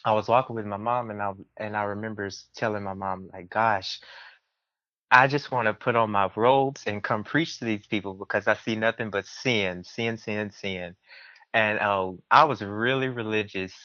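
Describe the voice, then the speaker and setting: A man's voice: lowish voice, steady tone, normal speed, pushed and bright, no echo, lo-fi sound. A man, telling personal stories casually as an interview guest. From southern United States. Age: early 20s. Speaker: podcast guest.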